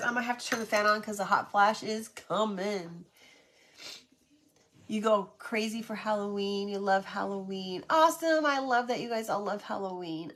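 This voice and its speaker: A woman's voice: mid-range voice, fairly bright tone. A middle-aged woman hosting a casual craft livestream, chatty and friendly, greeting viewers by name, her voice stuffed up and nasal from sinus congestion.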